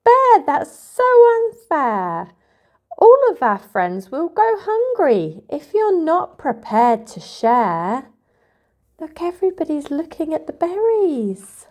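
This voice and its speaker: Woman voicing a high, chirpy little storybook bird. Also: sing-song, slow, darkish tone, heavily acted. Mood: indignant. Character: small male bird, chirpy, upset, fair-minded friend.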